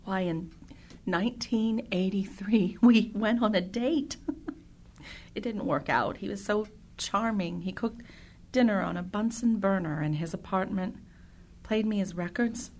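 A woman's voice: medium pitch, a little slow, darkish tone. A woman playing an eccentric, jumpy, militant older chemistry teacher in a radio drama, theatrical and commanding. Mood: wistful.

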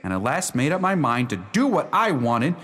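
Earnest young suitor in a reverberant hall. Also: male voice, mid-range voice, very animated, moderate pace, a bit bright. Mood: uneasy. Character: earnest, lovestruck young gentleman with a haunted family title. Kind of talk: radio drama narration, first person.

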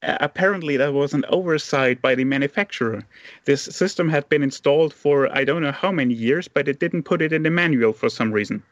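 A man's voice: fairly high pitch, a little fast, no room sound. A man co-hosting a talk podcast, telling a story in a hesitant way, often repeating words as he thinks. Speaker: podcast co-host. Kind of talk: casual current-affairs chat. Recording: slightly dull lo-fi mic.

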